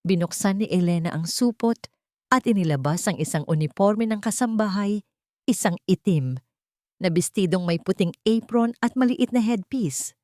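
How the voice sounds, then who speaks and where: A woman with a Filipino accent narrating a scripted dramatic story in a measured, theatrical way. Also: mid-range voice, lively intonation, a little mellow, no room sound, clean studio sound.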